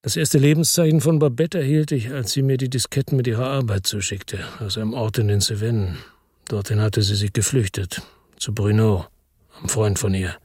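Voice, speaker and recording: A man's voice: lowish voice, steady tone, a little fast, a little mellow, slightly rough, dry recording, studio quality. An actor playing a middle-aged, weary and bitter ex-policeman, narrating tersely in a radio drama. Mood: sombre. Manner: terse, sombre, matter-of-fact. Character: weary, bitter male ex-policeman narrating his own crime story.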